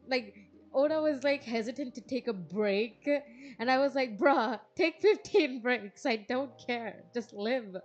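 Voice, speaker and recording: A woman's voice: on the high side, lively intonation, a little slow, lo-fi sound. A woman streamer doing a casual, slangy read-along, reading dialogue aloud and reacting with informal asides. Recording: music playing behind the voice. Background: background music.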